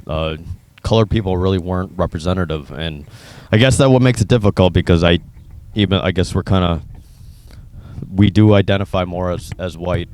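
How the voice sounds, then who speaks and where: A man's voice: low-pitched, brisk, darkish tone. An American man interviewing in a casual, loosely phrased, conversational way. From Minnesota, United States. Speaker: interviewer sharing his own experience.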